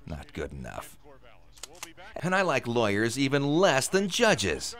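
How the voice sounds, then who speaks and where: A man's voice: medium pitch, wildly swooping pitch, takes it slowly, a bit bright, exaggerated voice. A man acting in a radio drama as a sardonic, menacing gunman who taunts his hostage. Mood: cold menace. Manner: mocking, taunting.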